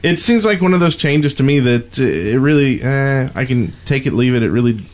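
A man's voice: medium pitch, animated, moderate pace. A man chatting casually as a co-host on a gaming podcast.

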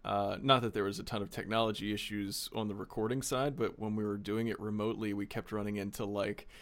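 A man with a fairly low voice talks with his cast in a relaxed, encouraging way. His tone is easygoing and friendly. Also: fairly quick. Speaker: podcast creator. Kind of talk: casual chat.

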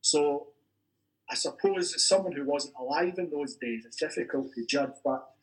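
A Scottish man, an academic in a podcast discussion, making careful, measured points that he qualifies as he goes. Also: on the high side, poor audio. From Scotland. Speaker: university academic discussing football history.

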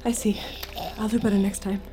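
An actress playing a seductive, submissive killer who confides in the listener in a hushed, intimate manner for an audio drama. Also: female voice, mid-range voice, little pitch movement, fairly quick, close to an everyday voice. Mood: obedient. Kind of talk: intimate first-person narration and acted dialogue in audio drama.

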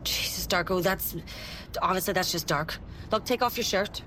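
A woman's voice: on the low side, normal speed, noisy background, natural voice. An actress playing a brusque female assassin who gives curt, exasperated orders in a comedic audio drama. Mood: commanding.

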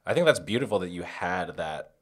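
A woman's voice: very deep voice, expressive, brisk, a crowd in the background. A young American woman hosting a casual conversation, informal and affirming, often saying "like". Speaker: podcast host. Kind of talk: casual chat.

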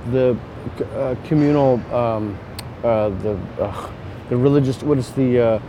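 Male guest, mid-range voice, earnest and deliberate, faint traffic behind. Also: mid-range voice. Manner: earnest and deliberate, searching for words. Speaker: guest on a discussion panel. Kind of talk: casual roundtable discussion. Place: seated at a table in a city.

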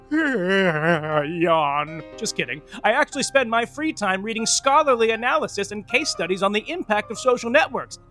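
A gossipy backstage narrator in an audio comedy. The voice is high and swoops about with arch, teasing sarcasm. Music plays behind it. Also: male voice, pushed and bright. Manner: arch, theatrical, playfully sarcastic. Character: gossipy, teasing, catty storyteller of backstage Broadway.

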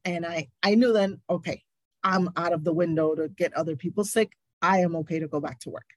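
A woman's voice: on the low side, fairly quick, dry recording. A woman speaking as a nurse guest in a candid interview, emphatic and indignant, repeating points for stress. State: recovering from covid, stuffy.

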